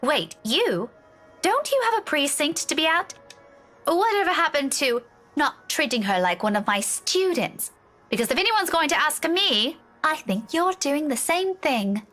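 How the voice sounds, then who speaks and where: A woman playing an anxious, fussy and sharp-tongued young schoolteacher in a visual novel game. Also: sits high, big pitch swings, a little slow, heavily acted. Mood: indignant, suspicious. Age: young adult. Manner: urgent, insistent. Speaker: game voice actor. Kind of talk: dramatic visual novel dialogue. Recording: music behind the voice.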